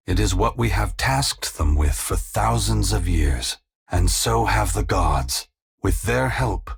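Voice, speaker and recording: A man voicing an ancient, solemn and resolute god in a video game, speaking in a gravelly, husky, grave and measured oratorical way. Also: low-pitched, full character voice. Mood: solemn resolve. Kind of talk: scripted dramatic game dialogue.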